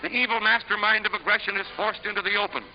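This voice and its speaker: A man's voice: sits high, fairly even intonation, fast talker, dull audio, faint music behind. An American man delivering scripted 1940s newsreel narration in a dramatic, emphatic, declamatory manner, on a vintage soundtrack.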